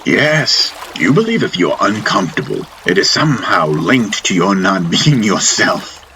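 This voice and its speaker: A man's voice: low-pitched, very animated, a little slow, pushed and bright, a little hiss or hum, heavily acted, low-quality recording. A man voicing an eccentric, teasing spiritual master in a fantasy audiobook, preaching emphatically in rhetorical questions and lessons. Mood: teasing, challenging. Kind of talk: audiobook character voice. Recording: music under the voice.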